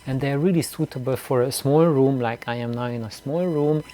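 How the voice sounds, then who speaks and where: A man talking casually and unscripted as a video host, in a halting, rambling way that often repeats words. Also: medium pitch, dark tone. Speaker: YouTube host of a DIY loudspeaker channel. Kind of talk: casual, unscripted vlog talk. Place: small room indoors.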